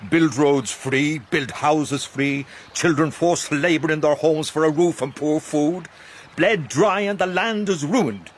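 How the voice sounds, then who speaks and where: An actor playing a passionate, persuasive Irish gentleman with an Irish accent, declaiming rhetorically in a radio drama. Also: on the high side, a little slow, a little hiss or hum, close to an everyday voice. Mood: indignant.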